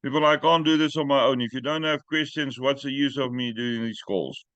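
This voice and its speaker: A man hosting a group call in English, which is not his first language, talking in a direct, plain-spoken and sometimes halting way. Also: mid-range voice, steady tone, fairly bright tone, no room sound. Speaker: host. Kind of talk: casual group call chat. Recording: dry muffled call audio.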